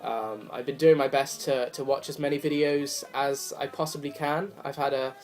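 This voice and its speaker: A man's voice: mid-range voice, fairly even intonation, moderate pace. A young man with a cold talks casually about his records, rambling and apologetic with lots of fillers. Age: young adult. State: hoarse from a cold. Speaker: vinyl collector vlogger. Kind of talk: casual chat to camera. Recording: faint music behind the voice. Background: jazz record playing softly.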